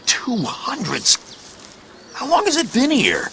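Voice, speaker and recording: An actor playing a bewildered, skeptical, informal man in an audio drama, speaking in halting, incredulous bursts over music. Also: extremely high pitch, wildly swooping pitch, creaky, noisy background, exaggerated voice. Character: bewildered skeptical male report writer, excitable and informal. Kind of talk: naturalistic audio drama dialogue. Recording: loud music bed under the voice.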